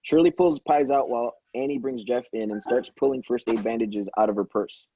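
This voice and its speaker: A man's voice: medium pitch, fairly even intonation, fairly quick, husky, dull audio. A man reading script stage directions aloud in a plain, matter-of-fact way, with occasional stumbles. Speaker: stage directions reader at a table read.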